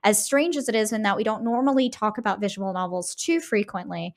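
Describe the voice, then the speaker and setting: A woman co-hosts a casual, analytical podcast. Her voice sits at a middle pitch. She talks in a discursive, winding way and keeps checking in with a tagged-on "right?". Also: fairly quick. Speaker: podcast host.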